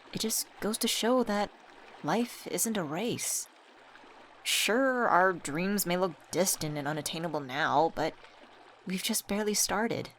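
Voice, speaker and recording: A woman voice actor playing a supportive, gently teasing young best friend in a scripted ASMR roleplay, talking casually and intimately. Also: medium pitch, slight background noise, natural voice.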